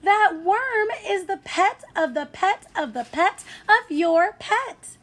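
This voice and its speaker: A woman's voice: extremely high pitch, expressive, slow pace, fairly bright tone, voice pushed up, heavily acted. A woman playing an exasperated storybook mother. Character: exasperated mother putting her foot down.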